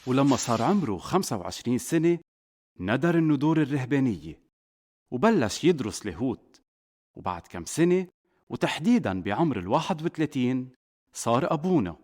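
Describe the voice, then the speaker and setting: A man with a Lebanese accent narrating a scripted children's story as a father would, in an expressive way. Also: medium pitch, brisk. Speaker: narrator, a father telling a saint's life to children.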